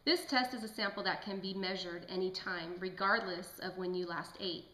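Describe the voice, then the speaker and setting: Женщина играет старательную лаборантку, звонкий голос, эхо. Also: female voice, lowish voice, moderate pace, bright, forceful tone. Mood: explanatory. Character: polite, studious female lab technician guest. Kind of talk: scripted amateur lecture. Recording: echoey room.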